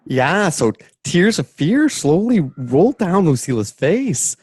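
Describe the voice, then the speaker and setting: A man's voice: high-pitched, very animated, slow, darkish tone, husky, no room sound, studio quality. A man narrating a radio play from a script in an informal, dramatic way, with casual asides.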